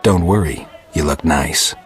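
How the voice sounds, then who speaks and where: A man voicing a gruff, stoic monster hunter with dry wit and laconic delivery in a fantasy video game. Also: very deep voice, a little slow, soft background music. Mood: deadpan taunting. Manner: deadpan, laconic. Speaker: player character of a fantasy game.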